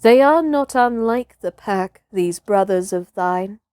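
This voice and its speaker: A woman voicing a composed, sage wolf with measured speech in a storybook reading. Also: medium pitch, expressive, a little mellow, smooth, no room sound, studio quality. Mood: composed, wry.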